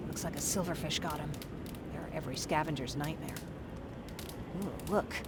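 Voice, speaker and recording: A man's voice: a little high, steady tone, moderate pace, very noisy, close to an everyday voice. An actor playing a young, streetwise, jumpy male scavenger in a video game, talking in a chatty, informal way. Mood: uneasy. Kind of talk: dramatic video game acting.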